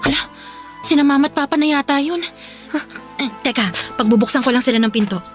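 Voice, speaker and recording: A woman's voice: sits high, fast, soft background music, lightly acted. A woman playing an anxious, talkative younger sister in a Filipino radio drama, earnest and easily startled. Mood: nervous, earnest.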